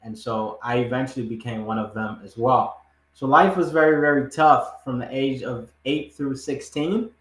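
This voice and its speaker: A man's voice: mid-range voice, fairly even intonation, moderate pace, fairly bright tone. A man from Ghana who grew up partly in the United States, telling a personal story as a podcast guest in an emphatic, repetitive conversational way. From Ghana, moved to the United States aged eight. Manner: emphatic, repetitive, often checks in with "right?". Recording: roomy, echoing room sound.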